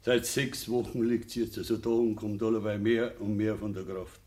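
Radio play casting: actor as worried Bavarian farmer husband, lowish voice, plain and subdued, clearly noisy recording. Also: male voice, flat delivery, moderate pace, natural voice. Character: worried, plain-spoken peasant husband of dying woman. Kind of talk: dialect radio play acting.

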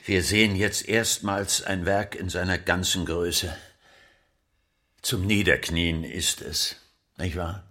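An actor playing a hesitant, awestruck museum curator in a radio drama, halting and repeating himself. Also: male voice, deep voice, fairly even intonation, bright, forceful tone, exaggerated voice. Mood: moved, reverent. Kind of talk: naturalistic radio drama dialogue.

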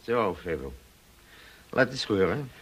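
An actor in a radio play playing a stern male colonel, husky, brisk and questioning, with an air of authority. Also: fairly low pitch, very animated, a bit bright, faint music behind, full character voice, low-quality recording. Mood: brisk, sceptical.

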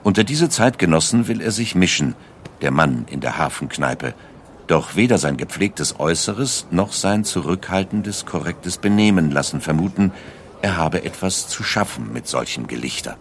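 A man narrating a scripted documentary story in a measured way, with dramatic emphasis. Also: deep voice, expressive, a little hiss or hum. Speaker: audiobook narrator.

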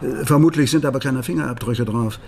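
An actor playing a polite, persistent police detective in a radio crime drama, probing in slightly hesitant officialese. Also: male voice, mid-range voice, brisk, creaky, darkish tone, a little hiss or hum, natural voice.

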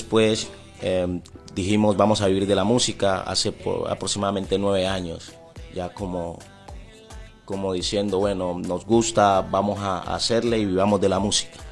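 A man's voice: deep voice, vocal fry. A man with a Colombian Pacific coast accent talking casually as an interview guest, often repeating words as he searches for the next one. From Timbiquí, Pacific coast of Colombia.

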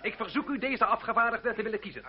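An actor playing a smooth-talking French student chairman, addressing an assembly in formal, flowery oratory in a radio drama. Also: very high voice, fast talker. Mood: earnest, rousing. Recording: dull lo-fi radio recording, crowd. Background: crowd.

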